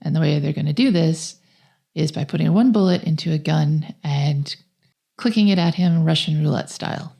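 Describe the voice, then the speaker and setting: A woman talks through a film as a podcast co-host. Her voice sits very low for a woman, with a soft, mellow tone and plenty of vocal fry. The delivery is conversational. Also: normal speed. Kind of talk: conversational film plot recap.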